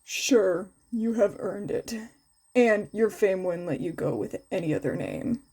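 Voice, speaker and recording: A woman voicing a tired, gruff man, a teacher in an anime story, in a deadpan, bored, terse manner. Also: medium pitch, a little slow, voice pushed down. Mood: flat.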